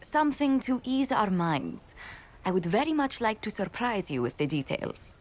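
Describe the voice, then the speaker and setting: An actress playing a refined, gracious diplomatic adviser in a video game, with formal, precise, polite phrasing. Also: female voice, mid-range voice, sing-song, normal speed. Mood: shyly hopeful. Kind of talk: video game dialogue acting.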